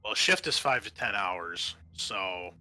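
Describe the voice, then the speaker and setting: Low, bright-voiced man as game master. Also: expressive, takes it slowly. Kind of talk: narrating and running a tabletop roleplaying game.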